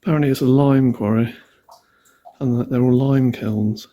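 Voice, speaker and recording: A man vlogging as he explores hills and an old quarry outdoors, talking quietly to himself and musing as he walks, picked up by a camera mic. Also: medium pitch, steady tone, moderate pace, highs cut off. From Wales. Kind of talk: casual hiking vlog narration.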